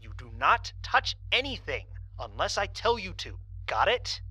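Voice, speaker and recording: A woman playing a firm, by-the-book spaceship commander in an audio drama, with a husky voice and authoritative manner. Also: on the low side, big pitch swings, a little slow, sharp tone, no room sound, full character voice, low-quality recording. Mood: stern.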